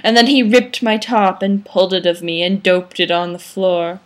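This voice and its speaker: A woman's voice: medium pitch, flat delivery, moderate pace. Young woman reading fiction theatrically. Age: young adult. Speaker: amateur reader. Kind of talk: mock-dramatic reading of fan fiction aloud. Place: small room indoors. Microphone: webcam or laptop microphone at arm's length.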